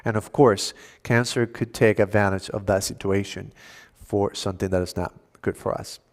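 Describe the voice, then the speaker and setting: A man's voice: on the low side, steady tone, normal speed. Man giving a health lecture. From Cuba. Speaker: health seminar speaker at a church. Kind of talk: educational health lecture to an audience.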